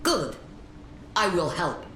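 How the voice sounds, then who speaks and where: A woman's voice: fairly low pitch, very animated, slow pace, full character voice. An actress playing a guarded young woman surviving in a wasteland, speaking in terse, solemn phrases in a dramatic video game. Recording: loud ambient and vehicle noise behind.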